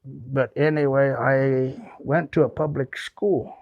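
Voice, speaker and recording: A man's voice: mid-range voice, steady tone, slow pace, gravelly, no room sound, highs cut off. An older man from the Pacific Northwest telling folksy, rambling stories to an audience as a guest speaker. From Pacific Northwest, United States. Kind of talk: storytelling talk with audience questions. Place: small hall with audience.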